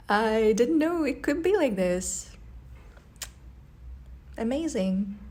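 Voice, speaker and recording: A young woman from a post-Soviet country with an Eastern European accent, narrating her life abroad in a friendly, earnest, conversational way. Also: fairly high pitch. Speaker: vlogger. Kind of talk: scripted voice-over narration.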